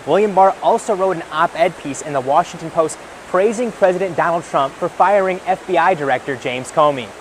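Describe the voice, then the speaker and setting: A male television reporter reads scripted news in a slightly high voice. He narrates stories and stand-ups as a field correspondent, with faint ambient noise behind him. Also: brisk, few pauses.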